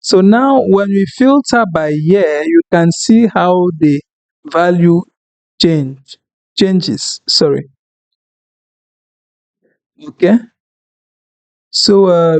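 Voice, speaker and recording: A man whose first language is French, talking through a screen-recorded software tutorial in an unhurried, apologetic way, thinking aloud with fillers. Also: fairly high pitch, no echo. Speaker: tutorial instructor.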